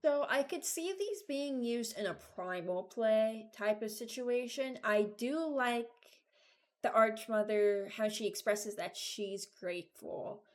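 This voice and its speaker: A woman giving informal, halting commentary as a video creator, thinking aloud while she ranks things. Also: on the high side. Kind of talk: casual commentary, ranking game voice lines.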